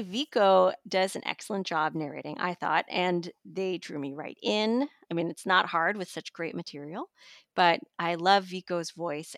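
Woman book reviewer, lower-pitched, enthusiastic and conversational, very dry close sound. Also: fairly bright tone, no room sound. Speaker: audiobook magazine editor and reviewer.

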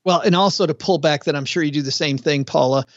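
Talkative male podcast co-host, middle-aged, with a gravelly, slightly high voice on a dull, dry recording. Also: fast, no echo. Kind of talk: casual conversational chat.

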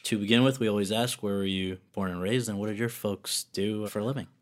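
Brief: male host, somewhat low voice, chatty, loose phrasing. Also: fairly even intonation, quick pace. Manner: friendly, rambling questions. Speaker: interviewer. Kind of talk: conversational interview.

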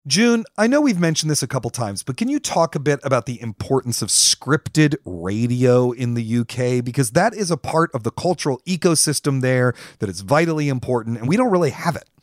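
A man with an American accent talks as a podcast co-host in a mid-pitched voice. He is informal and musing, thinking aloud conversationally, with a dry, close sound. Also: very animated, brisk, hardly any pauses, no echo. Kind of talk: casual conversational discussion.

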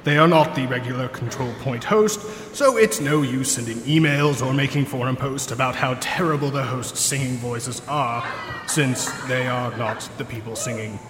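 A man's voice: mid-range voice, normal speed, noisy background. A man reading a mock-solemn comedy announcement in a formal, tongue-in-cheek announcer's manner. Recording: echoey hall reverb, music behind.

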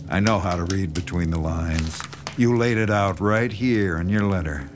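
A man plays a confident horror writer with a fairly low voice. He talks in a folksy, knowing manner with a quietly sinister, insinuating edge. It is audio drama dialogue with music clearly playing underneath. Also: moderate pace, close to an everyday voice. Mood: insinuating, flattering. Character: smooth-talking, subtly sinister, self-assured male horror writer.

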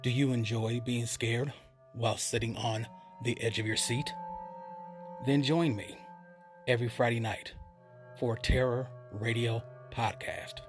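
A man's voice: medium pitch, steady tone, unhurried, pauses often, music in the background. A man reading an ominous, scripted promo as a horror podcast host.

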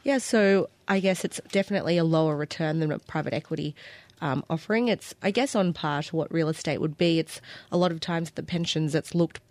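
A woman's voice: sits low, a little fast, darkish tone, dry recording. Australian woman reporter, dry studio sound. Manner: casual, explanatory, light wordplay. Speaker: guest reporter. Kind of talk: radio interview. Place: radio studio.

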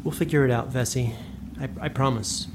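A man playing a gentle, reassuring brother in a fantasy audio drama, halting and slightly shaky. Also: medium pitch, steady tone, noisy background, close to an everyday voice. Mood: shaken, reassuring. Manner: halting, stammering.

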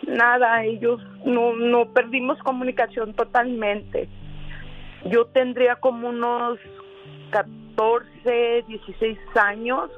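A woman from El Paso, Texas, calling into a radio show and speaking in a hesitant, halting way. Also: fairly high pitch, little pitch movement. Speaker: caller. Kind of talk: phone call to a radio show. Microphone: telephone. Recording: tinny phone line, faint music.

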